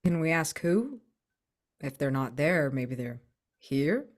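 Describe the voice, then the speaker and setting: A woman plays a droll, protective companion in a tabletop roleplaying game. Her voice is fairly low and very animated, with wry, hesitant phrasing. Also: takes it slowly, heavily acted. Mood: wry concern.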